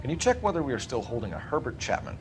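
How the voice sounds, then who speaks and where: An actor playing a terse, principled police detective in a video game, with a clipped, dry, formal manner. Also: male voice, mid-range voice, huge pitch swings, racing, very noisy. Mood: businesslike.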